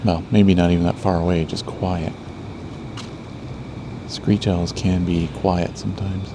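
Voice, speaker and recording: A man hosting a birding show, explaining what he observes in a measured way as narration and field commentary. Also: low voice, flat delivery, background noise. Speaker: birding podcast host.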